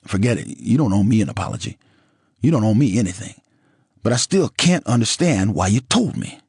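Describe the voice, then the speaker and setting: Exasperated blunt ex-husband, read by a man, lively and husky. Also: fairly low pitch, fairly quick, no echo, studio quality, pitched above the performer's own voice. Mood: flat. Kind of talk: audiobook character dialogue.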